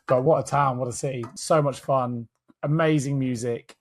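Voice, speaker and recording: A man with a slightly husky voice, chatting upbeat on a podcast from his home office over a video call. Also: mid-range voice, fairly even intonation, normal speed. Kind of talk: casual conversational podcast chat.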